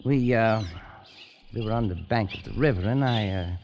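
A man's voice: on the low side, moderate pace, darkish tone, a little hiss or hum, natural voice. A man playing a careless, arrogant adventurer in an old-time radio drama, flippant and scoffing, hesitant when cornered. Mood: evasive. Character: careless arrogant male adventurer, later haunted. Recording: lo-fi archival radio recording with music.

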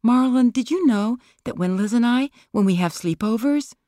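A woman reading as an anxious, childlike man, a famous singer in a literary story. The voice is lifted high and light, babbling eagerly and earnestly, recorded close and dry. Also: animated, moderate pace, warm tone, dry recording, natural voice.

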